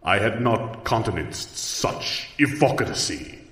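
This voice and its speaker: Man voicing proud rodent duelist, very low voice, grave formal delivery, audio comedy, long hall reverb. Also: expressive, slow pace, a bit bright. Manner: grave, formal, mock-archaic. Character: solemn, proud male rodent duelist in beaver helmet.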